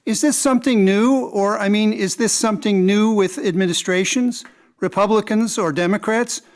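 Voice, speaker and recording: An actor playing a polite, formal mid-century radio news interviewer in a courteous manner. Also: male voice, high voice, moderate pace. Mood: courteous, inquiring. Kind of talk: old-time radio interview acting. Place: live radio theater performance in a church.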